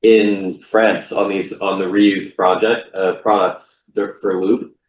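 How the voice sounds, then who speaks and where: A man's voice: low-pitched, flat delivery, takes it slowly, roomy sound, slight background noise, poor audio. A male student asking a question in a class Q&A over a video call.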